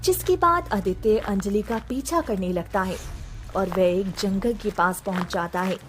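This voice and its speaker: A woman's voice: mid-range voice, measured pace, soft background music. A woman reading scripted narration for a story as its narrator.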